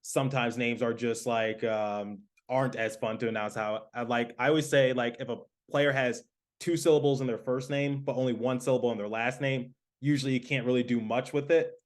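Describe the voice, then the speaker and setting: Host: man from Michigan, sports podcaster and play-by-play caller, mid-pitched, halting delivery. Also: steady tone. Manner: halting, many fillers. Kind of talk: casual interview chat.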